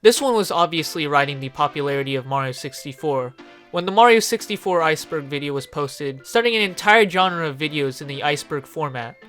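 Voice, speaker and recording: A video essayist narrates in a medium-pitched voice. The delivery is informal and opinionated, with casual swearing. Music plays behind the voice. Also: lively intonation, fairly quick, a bit bright. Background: background music.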